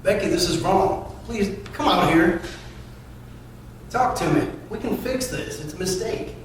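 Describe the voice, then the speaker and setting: A man's voice: high voice, lively intonation, measured pace. A man reading the part of an earnest, troubled veteran down on his luck at a script table read. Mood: pleading. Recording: echoey room, distant mic, faint noise.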